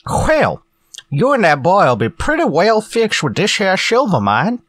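An old frontier prospector for a western radio play. He is folksy and exclamatory, with pitch that swings wildly. The sound is close and dry. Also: wildly swooping pitch, a little slow, a bit bright, husky, no room sound, exaggerated voice. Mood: curious, sympathetic. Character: folksy old male prospector and former ranger.

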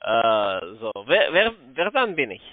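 A man with a foreign accent, not a native German speaker, making an insistent sales call in halting, broken German over the telephone. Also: mid-range voice, sing-song, no room sound. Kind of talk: unscripted sales phone call. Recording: muffled narrowband phone line.

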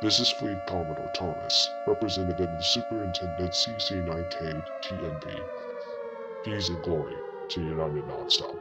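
A man's voice: low-pitched, close to an everyday voice. A man voicing a fleet commodore who gives a grave emergency address to a nation under nuclear attack. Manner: grave, solemn, measured. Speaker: wartime leader giving an emergency address. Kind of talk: emergency broadcast speech. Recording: speech over loud music.

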